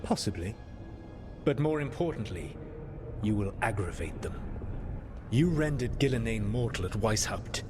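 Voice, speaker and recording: A man playing a solemn, formal advisor in a fantasy game, speaking with grave, deliberate care. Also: lowish voice, animated, music in the background. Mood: grave counsel. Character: solemn, measured male advisor who warns of powerful gods. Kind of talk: cinematic fantasy game dialogue. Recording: clearly noisy ambience behind voice.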